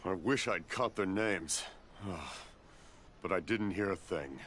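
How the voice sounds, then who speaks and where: A man's voice: sits low, unhurried, a bit bright, a little hiss or hum. A man playing an anxious, apologetic older father in a video game, grumbling as he speaks. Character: anxious, apologetic old father, hard of hearing.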